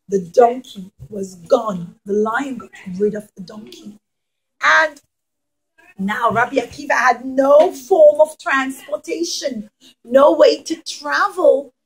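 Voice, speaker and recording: High-voiced woman telling stories to children. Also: husky, poor audio. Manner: emphatic, repeats phrases, asks the children questions. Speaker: children's storyteller and teacher. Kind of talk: interactive puppet-show storytelling for young children.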